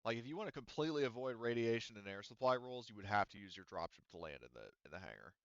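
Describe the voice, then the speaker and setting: Rambling male game master, smooth dry voice. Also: fairly low pitch, very animated, rapid-fire, no echo, muffled recording. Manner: rambling, thinking aloud with many "um" and "like". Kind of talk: explaining rules and setting in a tabletop game.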